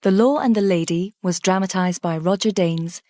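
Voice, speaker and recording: A woman's voice: medium pitch, normal speed, dark tone, no echo. A woman reading a scripted closing announcement for a radio drama.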